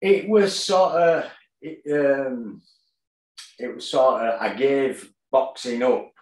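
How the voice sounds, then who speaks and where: A man, telling his story plainly in a video-call interview, with fillers and pauses. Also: medium pitch, darkish tone. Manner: plain-spoken, halting with fillers. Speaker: guest, boxer and gym owner. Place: kitchen at home, indoors. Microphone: tablet on a video call. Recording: echoey room.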